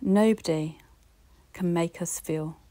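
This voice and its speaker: A woman with a British accent giving a reflective solo podcast talk, gently encouraging and speaking straight to the listener. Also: fairly low pitch, slow pace. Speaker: podcast host.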